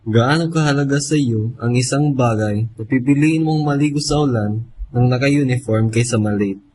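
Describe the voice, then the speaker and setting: A man's voice: mid-range voice, moderate pace, a little mellow, slight background noise. A man narrating a scripted radio drama as its storyteller.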